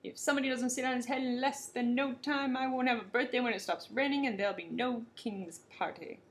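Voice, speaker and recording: A woman's voice: sits low, fairly even intonation, moderate pace, voice pushed down. A woman voicing a bad-tempered creature king who roars orders and bullies. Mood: angry. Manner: roaring, bawling, bossy.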